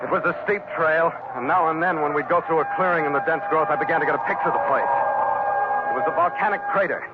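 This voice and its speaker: A man's voice: on the high side, fast talker, natural voice. An actor playing a tough, terse American seafarer who narrates his adventure in clipped, dramatic 1940s radio style. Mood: tense. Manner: clipped, hard-boiled, dramatic. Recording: noisy, dull 1940s radio recording.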